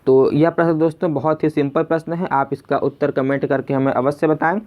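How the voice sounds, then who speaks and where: A man's voice: a little high, fairly even intonation, brisk. Man teaching, dull lo-fi recording. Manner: conversational, repeats each question before answering, addresses viewers as friends. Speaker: quiz teacher and video host. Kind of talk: spoken exam quiz lesson, reading questions and giving answers.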